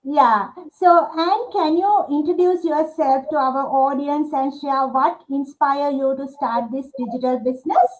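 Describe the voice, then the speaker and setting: A woman from Sri Lanka in her thirties, hosting a live online interview and pausing often with filler sounds. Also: high voice, low-quality recording. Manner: hesitant, many filler uhs. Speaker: livestream host.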